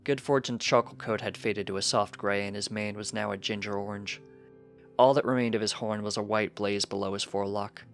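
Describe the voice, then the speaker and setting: A male narrator reads fiction in a scripted storytelling style. His pitch sits a little low, and music plays behind the voice. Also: fairly even intonation, a little fast. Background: background music.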